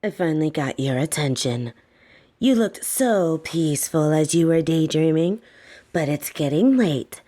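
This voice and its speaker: A woman playing a soft-spoken, domineering lamia caretaker in a roleplay audio, teasing and coaxing with a condescending, softly menacing edge. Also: low-pitched, expressive, unhurried. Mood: gently bossy. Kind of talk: scripted roleplay audio, intimate second-person address.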